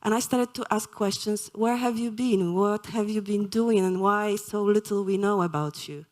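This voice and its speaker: A woman from Poland giving a live lecture, speaking slowly and hesitantly with many fillers. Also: medium pitch, steady tone, darkish tone. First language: Polish.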